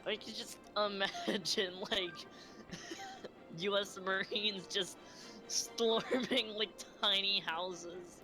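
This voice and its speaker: A speaker with a Midwestern accent chatting casually on a stream, hesitant and halting. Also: mid-range voice, over music, rough recording. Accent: Midwestern American. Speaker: stream co-host. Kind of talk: casual chat.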